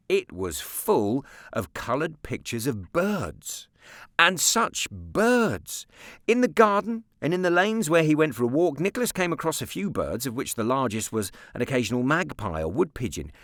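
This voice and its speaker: A man narrates a story with huge swings in pitch, from hushed lows to excited highs. His delivery is polished and theatrical, and the recording is dry and close. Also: no room sound. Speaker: narrator, former broadcast presenter. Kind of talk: expressive scripted storybook narration.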